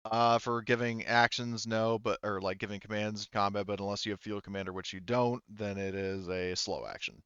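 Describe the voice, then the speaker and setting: A low-voiced man behind the screen at an online tabletop game night, halting through the rules on a slightly muffled mic. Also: steady tone, a bit bright, clean tone, dry recording. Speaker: game master. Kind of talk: casual rules talk running a tabletop game.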